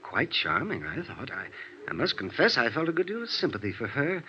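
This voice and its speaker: A man's voice: medium pitch, huge pitch swings, fairly quick, bright, forceful tone. An American actor playing a prim, flustered insurance salesman in a vintage radio drama, stammering in a prissy, hesitant way. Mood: prim, disapproving. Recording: muffled, noisy vintage radio recording.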